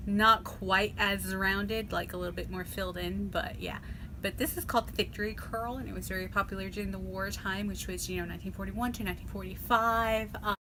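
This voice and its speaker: A woman's voice: medium pitch, steady tone, brisk, background noise. A woman, a beauty vlogger talking casually through a makeup tutorial, sniffly and rambling with fillers and restarts.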